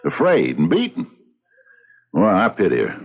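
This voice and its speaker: A deep-voiced American frontier sheriff, folksy and wary, with a swinging, colloquial delivery. The sound is a dull old radio drama recording. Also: male voice, wildly swooping pitch, unhurried, full character voice. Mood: grim, wary. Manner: folksy drawl, hesitant, colloquial. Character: plain-spoken, folksy frontier sheriff, wary but pitying.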